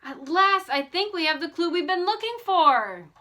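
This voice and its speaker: A woman's voice: extremely high pitch, lively intonation, normal speed, bright, forceful tone, voice pushed up, heavily acted. A woman voicing a keen, kindly teenage girl detective in an audiobook, eager and determined. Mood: excited. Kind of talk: audiobook character voice.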